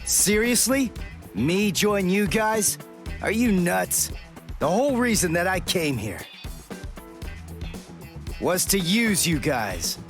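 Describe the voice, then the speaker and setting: A man's voice: high voice, fairly bright tone, exaggerated voice. An actor playing a defiant, hot-headed young male hero in a game cutscene dub, blunt and brash. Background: game music.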